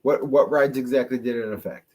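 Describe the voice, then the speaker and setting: A man's voice: medium pitch, steady tone, brisk, warm tone. A man co-hosting a casual podcast chat, laid-back, often laughing and repeating his words. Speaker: podcast co-host.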